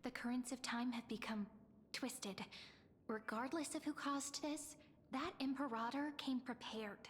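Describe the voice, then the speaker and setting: A woman playing a calm, thoughtful female companion and guide in a video game, speaking in a measured, encouraging way. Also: high voice, slight background noise. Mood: thoughtful, concerned. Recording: long hall reverb over game music.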